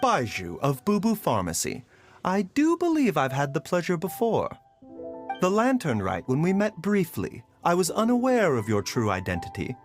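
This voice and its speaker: A man voicing a genteel, composed pharmacist in an anime-style video game, speaking with polite, measured formality. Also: fairly high pitch, wildly swooping pitch, faint music behind. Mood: deferential.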